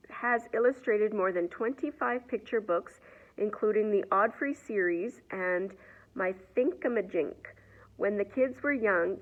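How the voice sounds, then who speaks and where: Slow-paced woman reading a storybook, phone-like sound. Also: medium pitch. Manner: expressive, asks the young listeners questions. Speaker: read-aloud storyteller. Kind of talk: animated children's picture-book read-aloud.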